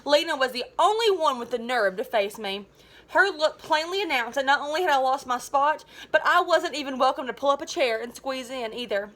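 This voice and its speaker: Lady teacher doing a read-aloud, kinda high voice, really dramatic and chatty about it. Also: fairly quick. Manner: animated, dramatic, informal, exclamatory. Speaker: teacher reading a novel aloud. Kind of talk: expressive children's book read-aloud.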